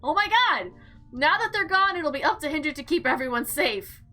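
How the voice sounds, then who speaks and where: A woman hosting a visual novel playthrough, reading aloud and reacting with animated, slangy exclamations over background music. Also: high voice, moderate pace. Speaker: gaming video host.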